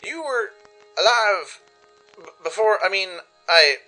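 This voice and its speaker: A man voicing a flustered, sheepish female pony mayor with a halting delivery in a radio play. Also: high-pitched, lively intonation, sharp tone, soft background music, voice pushed up, heavily acted, rough recording. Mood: stunned, flustered. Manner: halting, stammering.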